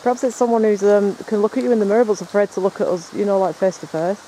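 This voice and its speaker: A man talks in a chatty, rambling way, his voice pitched high yet mellow and soft-edged. He was recorded outdoors in rainy woods at night, with faint rain and a stream behind him. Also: fast talker, a little hiss or hum, rough recording. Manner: chatty, rambling, explains as he goes. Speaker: paranormal investigator filming. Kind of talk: casual on-location chat.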